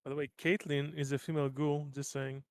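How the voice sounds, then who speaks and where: A man's voice: on the high side, level tone, brisk, a little mellow, dry recording. Man chatting in a dry recording. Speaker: tabletop game player. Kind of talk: casual game chat.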